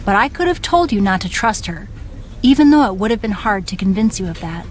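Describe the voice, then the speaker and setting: An actress playing a scheming veteran female wrestler, talking in a measured, persuasive way. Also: medium pitch, animated, slight background noise, lightly acted. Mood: knowing, persuasive. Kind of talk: video game cutscene dialogue.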